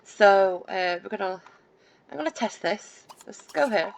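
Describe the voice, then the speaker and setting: A woman's voice: medium pitch, lively intonation, a little slow, creaky, low-quality recording. A woman casually narrating her life-sim gameplay, rambling with many fillers and restarts, and poking fun at herself. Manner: self-deprecating, lightly laughing. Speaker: let's play gamer.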